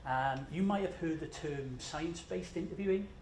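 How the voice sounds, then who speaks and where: A Scottish man presents to a conference crowd in a loose, chatty way, with plenty of fillers and self-deprecating asides. His voice sits a little high and sounds tired from jet lag. The sound is roomy and echoey, with a faint hiss underneath. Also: normal speed, a little mellow. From Scotland. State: jet-lagged. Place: large conference room.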